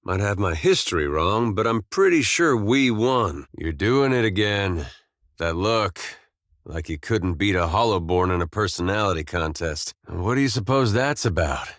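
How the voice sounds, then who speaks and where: A man's voice: on the low side, lively intonation, normal speed, fairly bright tone, no echo. A man playing an easygoing, loyal farm-boy soldier in a video game, with an American accent and a folksy, plainspoken, wry manner. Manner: folksy, laid-back drawl, dry humor. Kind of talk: scripted video game dialogue.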